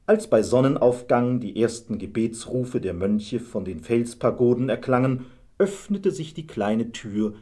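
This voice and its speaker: Husky male fairy-tale narrator. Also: mid-range voice, lively intonation, dark tone. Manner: measured storytelling.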